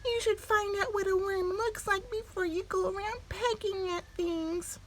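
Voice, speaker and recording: Woman doing a snippy squeaky field mouse. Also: fairly even intonation, measured pace, a little hiss or hum. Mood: scolding. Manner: scolding, squeaky.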